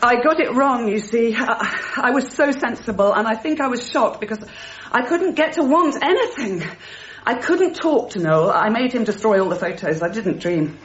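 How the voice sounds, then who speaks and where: An actress playing a rational, brittle grieving mother in a naturalistic radio drama, speaking in halting, repeated, self-correcting phrases. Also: a little high, lively intonation, muffled recording, natural voice. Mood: numb, grieving.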